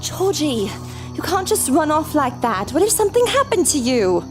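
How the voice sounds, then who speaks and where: An actress as a prim, high-voiced sister. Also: female voice, sing-song, normal speed, over music. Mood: anxious. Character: prim, disapproving young English sister, anxious and sceptical. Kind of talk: audio drama acting.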